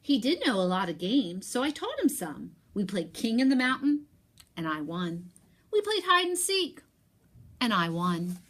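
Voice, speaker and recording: A woman teacher reading a picture book aloud and talking to young pupils, expressive, with emphatic pauses. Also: fairly high pitch.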